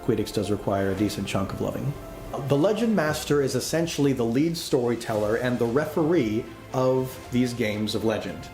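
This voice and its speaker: A man speaks as the host and storyteller of a tabletop game. His voice sits in a middle range with deliberate, dramatic phrasing, and faint music plays behind him. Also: medium pitch, lively intonation, darkish tone. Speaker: game master, host and game developer.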